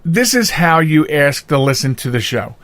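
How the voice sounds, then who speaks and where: A man's voice: fairly high pitch, sing-song, normal speed, fairly bright tone, no room sound. A man hosting a podcast in casual, chatty, rambling and jokey style. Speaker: podcast host. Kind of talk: casual chat.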